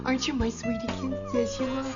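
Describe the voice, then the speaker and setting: A woman's voice: high voice, level tone, fast, natural voice. An actress playing a doting, flustered cat lady who gushes in baby talk and speaks in a ladylike way. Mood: distressed, doting. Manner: gushing baby talk to pets, hesitant. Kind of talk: acted video game cutscene. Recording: muffled game audio with music behind.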